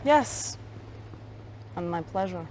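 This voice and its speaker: An actress playing a cool, ruthless Soviet intelligence officer in a video game cutscene, speaking in a controlled, imperious way. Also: female voice, lowish voice, lively intonation, slow, a little mellow. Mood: controlled, commanding. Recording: noisy old game video audio.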